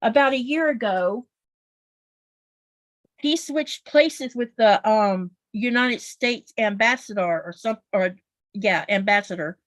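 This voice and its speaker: A woman's voice: fairly high pitch, takes it slowly, no echo. A woman with a Southern US accent, chatting casually on a livestream in an explanatory, folksy way. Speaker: quilter guest on a livestream.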